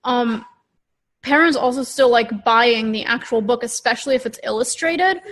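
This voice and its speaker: A woman with a fairly high voice co-hosts a podcast over a video call. She talks in an informal, conversational way, loosely phrased and peppered with "like". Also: moderate pace, fairly bright tone. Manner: informal, frequent "like" and "sort of". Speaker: podcast co-host. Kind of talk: casual conversational podcast chat.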